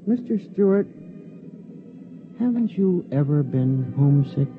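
An actor playing a meek, modest middle-aged American bookkeeper in a 1950s radio drama, hesitant and self-effacing. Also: male voice, fairly high pitch, expressive, unhurried, music in the background. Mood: quiet, wistful. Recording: tinny, noisy 1950s radio recording.

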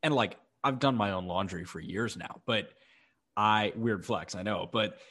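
A man's voice: fairly low pitch, a little slow, fairly bright tone. A man from Chicago co-hosting a baseball podcast, congested with a cough, chatting in a laid-back way. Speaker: podcast co-host. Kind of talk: casual sports podcast chat.

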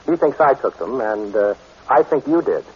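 An actor playing a suave, wry adventurer hero in conversational 1940s radio style. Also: male voice, medium pitch, animated, normal speed, a little hiss or hum, natural voice. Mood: wry, easygoing. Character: suave, wry adventurer hero, good-hearted former thief. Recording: dull 1940s radio recording.

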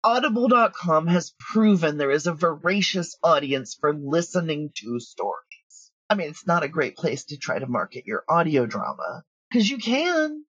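A woman's voice: deep voice, fairly bright tone, husky, no room sound, lo-fi sound. A middle-aged woman speaking candidly and bluntly as an interview guest, talkative and sure of herself. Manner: blunt, self-assured, talkative. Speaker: guest, audio drama writer and producer.

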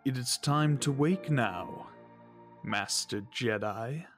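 An actor playing a grave, cold alien mystic with a solemn, formal manner in an audio drama. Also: male voice, mid-range voice, slow pace, soft background music, natural voice.

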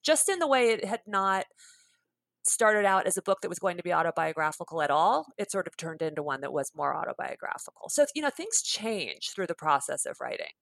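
A woman's voice: lowish voice, very animated, a little fast, vocal fry, a bit bright, no room sound. A woman guest author in a conversational interview, chatty, laughing often and trailing off to restart her thoughts.

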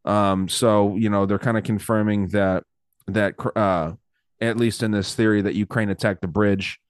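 A man's voice: lowish voice, steady tone, moderate pace, clean tone, no echo. Easygoing male host, casual and hesitant, dry close-mic sound. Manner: hesitant, many fillers, easygoing. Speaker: podcast host. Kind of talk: casual chat.

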